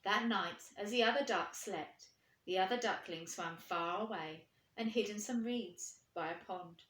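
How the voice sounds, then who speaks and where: A woman reading a storybook to children, expressive and putting questions to her young listeners. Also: mid-range voice, a little slow. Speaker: primary school teacher reading aloud. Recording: echoey room.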